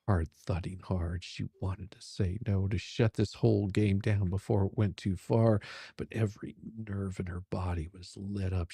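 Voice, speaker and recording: A man's voice: deep voice, gravelly, dark tone, slightly rough, no echo, studio quality. A man narrating a romance story in a dramatic, suspenseful, sultry style.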